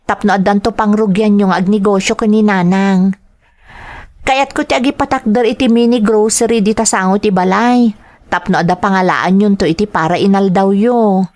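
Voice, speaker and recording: A woman's voice: medium pitch, moderate pace, darkish tone, close to an everyday voice. A woman playing a devoted young daughter who works abroad for her family in a dramatized audio drama. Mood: affectionate, earnest.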